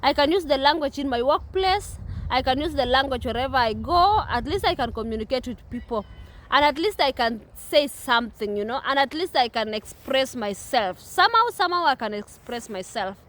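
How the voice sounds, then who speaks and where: High-voiced Kenyan woman vlogging. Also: expressive, a little fast, fairly bright tone. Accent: Kenyan English. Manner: repetitive, earnest, many restarts. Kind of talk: casual talk to camera. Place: outdoors at a playground.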